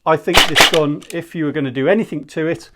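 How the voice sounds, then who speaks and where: A man's voice: a little high, quick pace, very noisy. A man giving a casual, candid product review in a conversational, rambling way. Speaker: airsoft gear reviewer.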